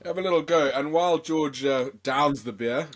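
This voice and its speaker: A young man from South Africa hosting a casual podcast, hoarse from a chest infection, rambling and excitable with many fillers. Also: on the high side, normal speed. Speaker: podcast host.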